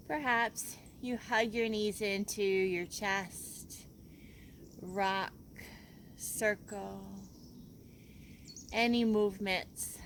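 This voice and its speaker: Woman, yoga teacher, very slow delivery, gentle and soothing, guided relaxation, pauses between phrases, faint background noise. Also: medium pitch.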